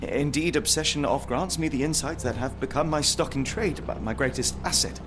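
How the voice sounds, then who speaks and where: Casting: man to play an obsessive, brooding British Victorian detective for a game, fairly high-pitched, formal and eloquent, music underneath. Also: moderate pace, natural voice. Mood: despairing. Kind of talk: video game cutscene acting. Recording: music behind the voice.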